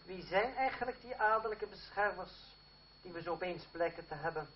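An actor playing a cool, sardonic theatre player from revolutionary France in a muffled old Dutch radio play. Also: male voice, high voice, fairly quick, crowd noise, natural voice. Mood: coolly sarcastic. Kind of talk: radio drama acting in Dutch.

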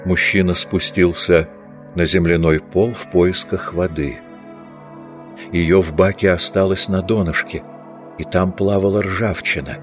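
A man's voice: low voice, fairly even intonation, measured pace, faint music behind. A man reading scripted literary narration as the narrator of an audiobook.

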